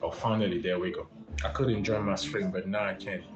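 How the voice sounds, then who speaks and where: A man's voice: low-pitched, steady tone, brisk, muffled recording. A man whose first language is French, hosting a casual livestream language lesson and greeting and thanking his viewers by name. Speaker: language teacher and livestream host. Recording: roomy livestream with music behind.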